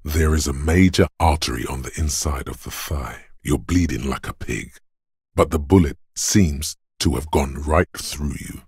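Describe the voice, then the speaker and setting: A man voicing the grim inner voice of a body's endurance in a video game, raspy and gravelly, narrating slowly and ominously in the second person. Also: very deep voice, darkish tone, dry recording, clean studio sound, full character voice. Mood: grim, weighty. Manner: slow, weighty, ominous.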